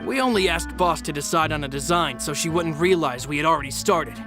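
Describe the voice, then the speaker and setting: A man playing a courteous, loyal gentleman aide in a video game, with a polite, measured, formal manner. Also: on the high side, music in the background, lightly acted. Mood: explanatory.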